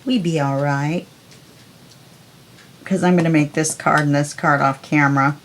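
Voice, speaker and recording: A woman hosting a crafting tutorial, chatting calmly and unhurriedly as she works. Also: deep voice. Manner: calm, unhurried, talks while working. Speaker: crafting video host. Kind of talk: casual crafting tutorial chat.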